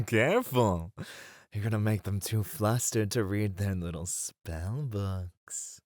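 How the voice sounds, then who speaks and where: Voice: low voice, expressive, darkish tone, no room sound, studio quality. An actor voicing a suave, dominant demon who flirts and teases in an ASMR roleplay. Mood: playfully chiding. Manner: slow, purring, teasing delivery.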